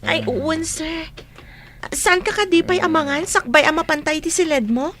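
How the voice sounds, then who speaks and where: A young Ilocano housemaid in a radio drama, respectful but capable of anguished outrage. Her voice is very high and bright, over faint background noise. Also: moderate pace. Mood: subdued, respectful. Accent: Filipino, Ilocano. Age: young adult.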